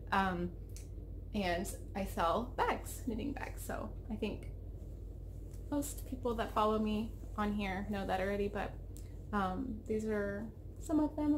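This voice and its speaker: A woman's voice: medium pitch, moderate pace, background noise. A woman in her thirties hosting a knitting podcast from her home craft room, chatting in a rambling, self-interrupting way, her throat a little froggy. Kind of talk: casual chat. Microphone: webcam at desk.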